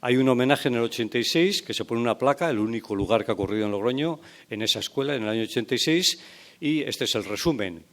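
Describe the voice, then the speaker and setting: A man presents local history at a panel, counting off facts in plain lists and dropping the odd wry aside. His voice sits at an ordinary pitch for a man. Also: lively intonation, fast talker, a bit bright. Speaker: conference speaker.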